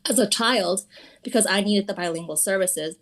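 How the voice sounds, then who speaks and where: A woman in her thirties, a teacher and parent, reading prepared public testimony in a steady, earnest, formal way at a school committee meeting. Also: medium pitch, normal speed. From Boston area.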